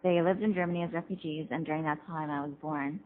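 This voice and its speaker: A young woman testifying at a hearing, hesitant and filling pauses with ums. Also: low voice, fairly even intonation. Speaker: student witness. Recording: tinny lo-fi recording with faint hum.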